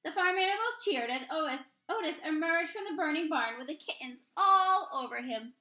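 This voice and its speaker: A woman reading a children's picture book aloud expressively, with deliberate, dramatic emphasis on key words. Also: high-pitched, lively intonation, pushed and bright, roomy sound. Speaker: read-aloud storyteller, teacher reading to children.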